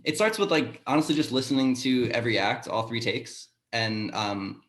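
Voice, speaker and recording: A man answering casually in a panel discussion as a sound designer, haltingly thinking aloud as he goes. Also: mid-range voice, a bit bright. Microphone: video call. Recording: roomy echo on a video call.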